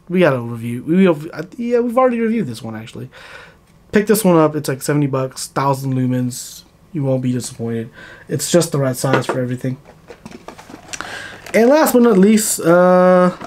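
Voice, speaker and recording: A man in his thirties, talking casually to camera about his fishing gear. He keeps checking in with "okay?", recorded in a plain indoor studio. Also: on the high side. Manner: relaxed, chatty, often checks in with "okay?". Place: plain indoor studio against a grey wall.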